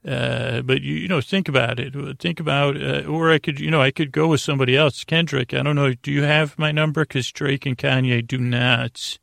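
A man hosting a bedtime podcast in a rambling, meandering monologue, often circling back and losing his thread, with a creaky voice. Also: mid-range voice, steady tone, moderate pace, few pauses, a bit bright, dry recording, studio quality. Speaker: podcast host.

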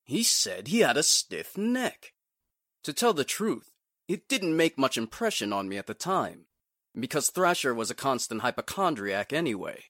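A man playing a proper, wordy gentleman in a 1940s radio drama, with genteel old-fashioned exclamations. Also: mid-range voice, very animated, moderate pace, no room sound, clean studio sound. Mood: recollecting.

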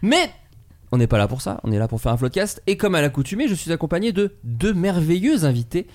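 A man's voice: a little high, fast. A man hosting a casual comedy podcast in French, joking and laughing often. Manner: lively, jokey, laughs often. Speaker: podcast host.

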